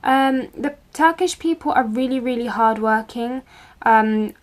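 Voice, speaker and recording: A young woman, recording a casual video account at home, talking in a measured way with frequent ums. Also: a little high. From England. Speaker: contributor recording a video report. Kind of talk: casual first-person account. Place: home room with bookshelves.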